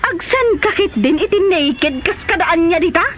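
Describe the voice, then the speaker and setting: Casting: actress as feisty wronged wife, extremely high animated voice, Filipino accent, comedy radio drama. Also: female voice, moderate pace. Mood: angry, accusing. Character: fed-up wife of a womanizer, feisty and scornful. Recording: dull, noisy audio.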